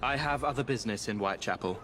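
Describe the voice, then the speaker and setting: A weary police inspector acted by a man speaks gravely and formally in a video game scene. The voice is medium in pitch and troubled, with faint street noise behind it. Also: fast talker, fairly bright tone, natural voice. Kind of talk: dramatic video game acting.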